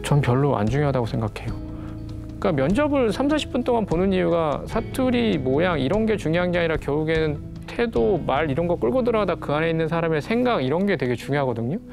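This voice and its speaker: A man's voice: a little high, big pitch swings, fast talker, gravelly, over music. A man who works as an interview evaluator, explaining in a conversational, repetitive way. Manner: explanatory, conversational, repeats "왜냐하면". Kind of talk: interview and mock job interview.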